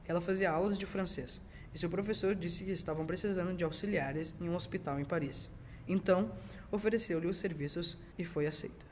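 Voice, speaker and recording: Boy narrator, early teens, high-pitched voice, scripted radio serial read, slightly noisy amateur recording. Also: fairly quick. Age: young teenager.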